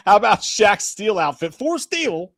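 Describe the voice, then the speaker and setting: A man on a live movie show with a slightly high, swooping voice that rises and falls a lot. He talks in animated, profane bursts. The sound is dry and close. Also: no echo. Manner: animated, profane, quick to laugh. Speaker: podcast co-host. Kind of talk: casual live movie chat.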